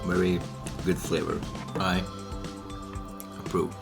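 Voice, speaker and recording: A man's voice: deep voice, level tone, slow pace, creaky. A man hosting a casual home cooking show, chatting and asking his guest one question after another in a kitchen. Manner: curious, keeps asking questions. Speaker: host. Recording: music playing underneath. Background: background music.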